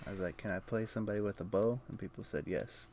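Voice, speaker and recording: A man streaming a video game, thinking aloud in a low-key, conversational way with casual commentary. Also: fast, a little mellow, slight background noise. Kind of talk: casual live gameplay commentary and chat.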